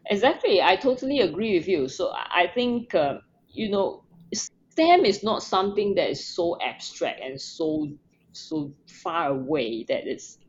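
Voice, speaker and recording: A woman's voice: lowish voice, animated, measured pace, lo-fi sound, slight background noise. A woman from Singapore, a podcast guest talking earnestly with many fillers and restarts. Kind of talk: casual interview.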